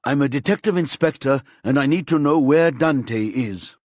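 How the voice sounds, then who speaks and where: A man's voice: mid-range voice, expressive, normal speed, darkish tone, dry recording, pitched above the performer's own voice, lightly acted. A firm, urgent police detective in a crime audiobook, played by a male narrator. He questions insistently but keeps control, in a very dry recording.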